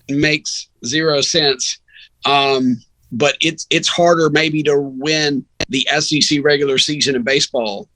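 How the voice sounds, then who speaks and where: A man's voice: mid-range voice, sharp tone, dry recording, low-quality recording. A man talking sports casually as a podcast guest, opinionated and emphatic, often rambling into asides. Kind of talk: sports talk, casual conversation.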